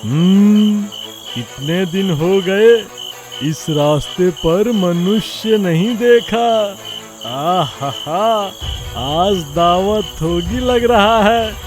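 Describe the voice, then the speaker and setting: A man voicing a man-eating ghost in a children's cartoon story, boastful but cowardly, with theatrical, drawn-out speech. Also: sits high. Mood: gloating, menacing. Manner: theatrical, drawn-out speech with gloating menace or pleading.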